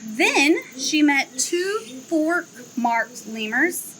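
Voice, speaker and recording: A young woman who works at a zoo reads a children's picture book aloud outdoors, in an expressive storytelling manner. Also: high voice, low-quality recording. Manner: slow, expressive storytelling, livelier chat afterward. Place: outdoor zoo enclosure with trees.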